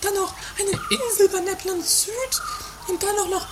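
A woman playing an excitable, teasing and easily wounded sister in an amateur comedy radio play. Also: very high voice, normal speed. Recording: noisy 1980s homemade radio play recording.

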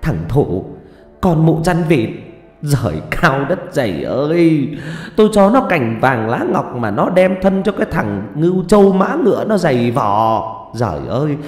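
A man voicing a domineering, hot-tempered village mother who scolds, curses and laments in shrill exclamations, in an audio story. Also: very animated, a little slow, a little mellow. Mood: wailing lament. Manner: scolding, shrill exclamations, coarse rustic cursing. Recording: echoey reverberant voice over music.

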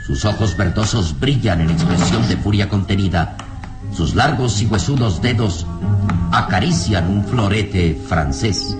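A man's voice: fairly low pitch, unhurried. A man reading scripted narration for a radio drama in a formal, dramatic manner. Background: music.

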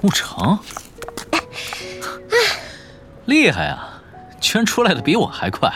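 A man playing an easygoing young man in an audio drama, relaxed and fondly teasing. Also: fairly high pitch, normal speed, gravelly, faint music behind, heavily acted. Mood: amused. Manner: relaxed, amused.

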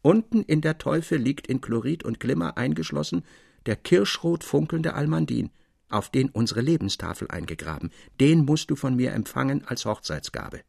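A man voicing a feverish young miner bridegroom, tender and obsessed, in a quiet, wavering, fervent manner. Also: fairly high pitch, gravelly, breathy edge, no echo, studio quality, voice pushed up, full character voice.

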